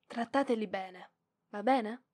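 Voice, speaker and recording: A woman's voice: fairly high pitch, a little mellow. A woman voicing a proud, easily flustered young librarian in an ASMR roleplay, bossy but fond, with hesitant stammers. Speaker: voice actress in audio roleplay.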